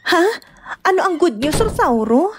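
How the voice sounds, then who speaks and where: A woman playing a young, caring but complaining provincial wife in an expressive radio drama. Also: very high-pitched, moderate pace, a little hiss or hum. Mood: glad, supportive. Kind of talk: Ilocano radio drama acting.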